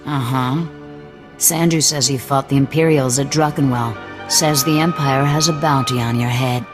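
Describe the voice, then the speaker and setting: A man's voice: medium pitch, steady tone, normal speed, music in the background, natural voice. An actor playing a hard, distrustful male rebel leader with cybernetic implants, talking curtly and with open threat in a game cutscene. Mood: hostile. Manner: gruff, threatening, curt. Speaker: resistance cell leader. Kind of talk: video game cutscene acting.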